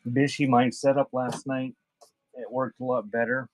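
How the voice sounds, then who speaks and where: A man's voice: medium pitch, flat delivery, normal speed, heavy background noise. A man hosting a casual live sale, chatting off the cuff with viewers and reading out items. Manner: off-the-cuff, addresses chat viewers by name. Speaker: live-stream auction seller of vintage music.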